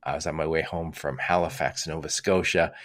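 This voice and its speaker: A man's voice: very deep voice, fairly even intonation, a little fast, fairly bright tone. An older American man hosting a casual interview, talking in a chatty, digressive way. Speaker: podcast host.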